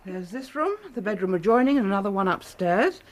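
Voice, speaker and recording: A woman's voice: mid-range voice, animated, a little fast, natural voice. An actress playing a polite, businesslike Victorian landlady in a radio drama, matter-of-fact and orderly.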